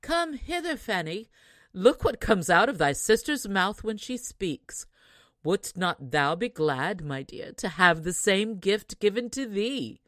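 A woman voicing a loud, scolding mother of two daughters in an archaic fairy tale, exclaiming sharply. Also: medium pitch, sing-song, slow pace, dry recording.

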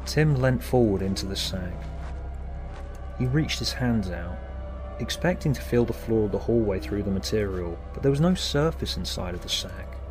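A man reading scripted story narration in a measured, deliberate way. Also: lowish voice, lively intonation, over music. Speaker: author and narrator.

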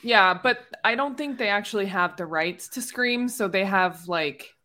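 A woman's voice: medium pitch, normal speed, pushed and bright. A woman in a casual podcast chat, talking informally in loose, filler-peppered phrases. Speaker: podcast speaker.

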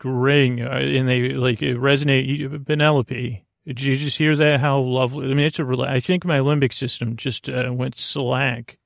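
A man delivers a rambling bedtime monologue as a podcast host. His mid-range voice is thick with creaky fry. He wanders off, breaks off and picks up again in halting fragments, all captured in a very dry space. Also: medium pitch, no room sound. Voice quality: gravelly, creaky. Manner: meandering, halting, self-interrupting, digressive.

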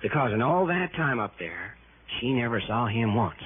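Animated, earnest man on old radio. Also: mid-range voice, normal speed, slightly rough. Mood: insistent. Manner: emphatic, repetitive, urgent. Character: earnest, worried male associate of a detective. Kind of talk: 1960s radio drama acting. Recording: muffled old radio recording, faint noise.

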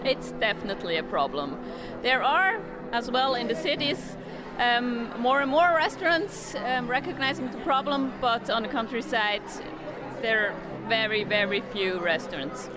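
A woman's voice: fairly high pitch, lively intonation, moderate pace. A woman from Switzerland, answering interview questions as a delegate in a hesitant way, repeating words. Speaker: coeliac association delegate being interviewed. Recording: loud music and crowd behind voice. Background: music, busy crowd.

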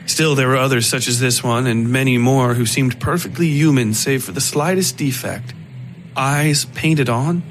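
A man reading scripted dark fiction as narrator, in a measured, literary storytelling manner. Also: mid-range voice, slight background noise, low-quality recording.

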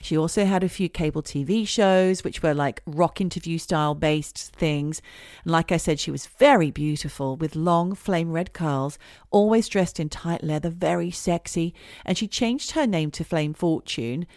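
A woman's voice: deep voice, normal speed, darkish tone, dry recording, studio quality. A middle-aged woman co-hosting a casual comedy podcast, telling stories in a chatty way. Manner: chatty storyteller, sings snatches of songs. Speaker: podcast co-host.

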